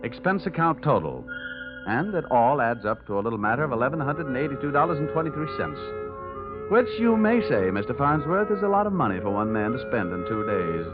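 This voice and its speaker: Man playing cynical American gumshoe, wisecracking, lively intonation, 1940s radio style, music behind. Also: medium pitch, brisk, lightly acted. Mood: wry, sardonic. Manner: wry, sardonic. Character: tough wisecracking male insurance investigator, cocky and cynical. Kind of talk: 1940s radio acting, hard-boiled narration. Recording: muffled vintage radio recording, music behind.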